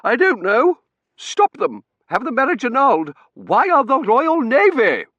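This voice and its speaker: A man's voice: lively intonation, measured pace, a bit bright, no echo, muffled recording. A man voicing an imperious, melodramatic older Victorian matron who wails and commands. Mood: wailing. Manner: shrill, wailing, commanding.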